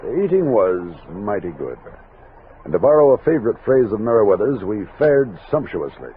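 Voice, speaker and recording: A deep-toned American captain of a frontier expedition, played by a man, steady and in command. He speaks in old-time radio drama style. The recording is narrow and plainly noisy. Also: natural voice. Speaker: lead actor and narrator.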